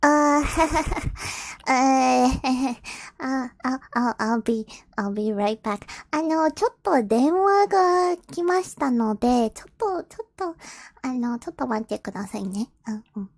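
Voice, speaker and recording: A woman's voice: sits high, lively intonation, normal speed, dry recording. A young woman streams and reads fanfiction aloud in a giggly, flustered way, with frequent laughs. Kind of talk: casual stream chat and read-aloud.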